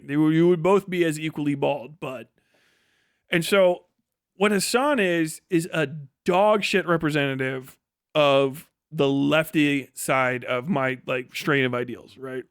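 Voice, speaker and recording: A man's voice: a little high, fairly bright tone, smooth, no room sound, clean studio sound. A man giving casual, ranting live-stream political commentary in a profane, scornful, emphatic way, often repeating phrases for emphasis.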